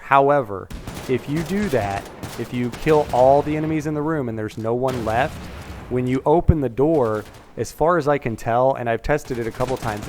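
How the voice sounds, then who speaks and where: A man's voice: medium pitch, darkish tone, slight background noise. A man narrating a video game walkthrough in a casual, explanatory way, carefully cautioning as he goes.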